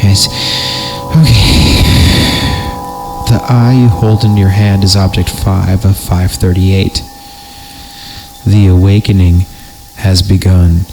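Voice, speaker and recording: A man's voice: deep voice, little pitch movement, takes it slowly, long pauses, music in the background. A man reading a horror story theatrically as a podcast host, breaking in with crude, joking asides. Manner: theatrical narration broken by crude, panicky comic asides. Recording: clearly noisy amateur recording.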